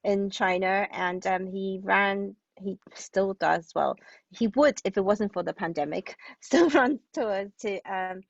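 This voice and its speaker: A woman from Hong Kong giving thoughtful interview answers as a guest playwright, often halting and restarting mid-sentence. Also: medium pitch, vocal fry, no echo, dull audio.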